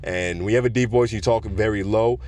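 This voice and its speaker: A deep-voiced man, a podcast host, giving his opinions in a relaxed, conversational monologue and projecting his voice. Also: fairly quick. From South Florida. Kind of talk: casual solo podcast rant.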